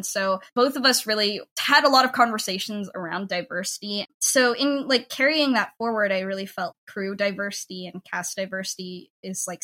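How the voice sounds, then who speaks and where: A young adult woman talking casually and emphatically in an interview as a podcast creator, with an informal, animated way of speaking. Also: mid-range voice. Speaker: podcast network head and audio drama writer.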